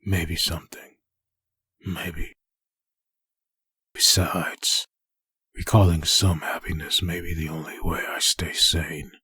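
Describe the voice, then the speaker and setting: A man playing a brooding, lovesick husband haunted by memories, in a husky voice for a dramatic comic dub. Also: deep voice, level tone, slow pace, pauses often, bright, forceful tone, no room sound, heavily acted. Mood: brooding, resigned. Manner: brooding inner monologue.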